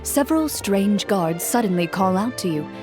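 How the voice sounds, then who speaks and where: A woman narrating a fantasy quest in the second person with measured storytelling. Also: medium pitch, animated. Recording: with music behind.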